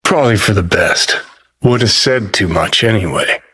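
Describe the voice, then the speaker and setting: A man's voice: lowish voice, expressive, normal speed, bright, forceful tone. A man playing a cynical, brash rebel rocker in a video game, sardonic, blunt and foul-mouthed. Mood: subdued, wry. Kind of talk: video game character acting.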